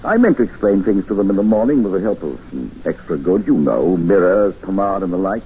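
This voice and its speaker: An actor playing a brisk, unflappable older expedition doctor in a 1950s radio drama, clipped and matter-of-fact. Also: male voice, sits low, sing-song, mellow, slight background noise. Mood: grim. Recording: dull old radio broadcast.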